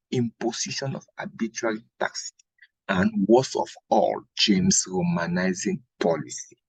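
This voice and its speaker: A man teaching an exam-prep lesson in a deliberate, didactic way, posing questions and answering them himself, with a husky, gravelly voice. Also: mid-range voice, no room sound, low-quality recording. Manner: didactic, deliberate, checks with "right?" and rhetorical questions. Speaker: history teacher preparing exam candidates. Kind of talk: instructional exam-prep lecture.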